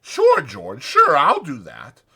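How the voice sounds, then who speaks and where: A man voicing an anxious, grieving old ranch hand in an audiobook, with folksy rural speech and a whining, drawn-out delivery. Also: high voice, huge pitch swings, creaky, pushed and bright, breathy edge, voice pushed up, heavily acted. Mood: obedient. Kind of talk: dramatized audiobook character voice.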